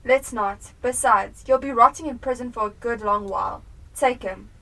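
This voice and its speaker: A young woman playing a sharp, determined police detective in a radio drama. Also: fairly high pitch, normal speed, vocal fry, distant mic, exaggerated voice, low-quality recording. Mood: stern, commanding. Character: sharp, determined female police detective piecing clues together. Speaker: student actor in a school radio play.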